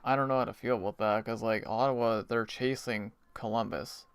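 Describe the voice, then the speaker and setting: This is a Canadian man talking casually through a live hockey game for his viewers. His voice is smooth and clear, recorded close and dry with almost no room sound. He explains things plainly and chuckles here and there. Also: fairly low pitch, fairly even intonation, brisk, no room sound. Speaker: hockey watch party streamer. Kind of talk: casual live-stream chat.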